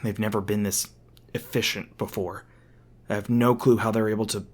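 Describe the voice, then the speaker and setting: A man's voice: on the low side, little pitch movement, normal speed, a little mellow, lightly acted. A man playing an edgy, hot-tempered monster hunter in an audio roleplay, sweary, defensive and sardonic. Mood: exasperated. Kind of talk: ASMR audio roleplay acting.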